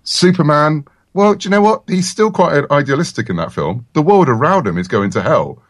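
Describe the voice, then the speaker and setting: A man's voice: a little high, sing-song, moderate pace, no echo, clean studio sound. A middle-aged British man speaking as a writer guest in a casual, chatty podcast interview.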